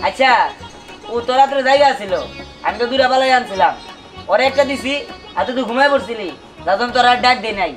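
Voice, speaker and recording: A man's voice: very high voice, faint music behind. A man with a colloquial Bangladeshi accent voicing an exasperated young cartoon husband, scolding in comic dialogue. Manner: scolding, rapid, forceful. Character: exasperated young husband of two quarrelling wives.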